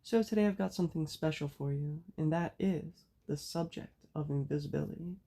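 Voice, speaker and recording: A woman with a raspy, hoarse voice from a cold, giving a measured spoken lecture as host of her own channel. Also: low voice, dark tone. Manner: measured, explanatory delivery.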